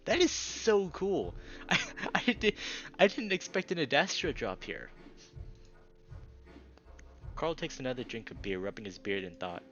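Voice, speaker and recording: A man reading a visual novel aloud as a streamer, breaking off into casual, excitable reactions. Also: mid-range voice, a little slow, long pauses, muffled recording. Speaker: let's play streamer, narrator. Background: background music.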